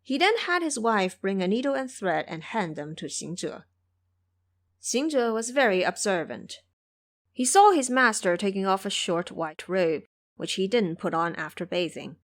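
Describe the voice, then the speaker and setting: Expressive woman storyteller, lively intonation. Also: mid-range voice, moderate pace. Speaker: narrator. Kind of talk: scripted audio drama storytelling.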